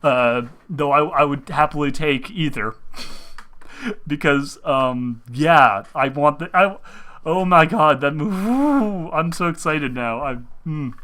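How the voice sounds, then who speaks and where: A young man hosting a casual chat about video games, rambling and excitable, restarting his sentences often. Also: on the high side. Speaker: host.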